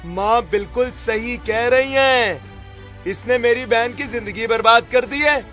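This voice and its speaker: Man acting a high-pitched sneering young brother-in-law. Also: over music. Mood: mocking, boastful. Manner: sneering, drawn-out taunting. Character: arrogant young brother-in-law, showy property dealer, mocking. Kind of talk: Hindi cartoon story dialogue.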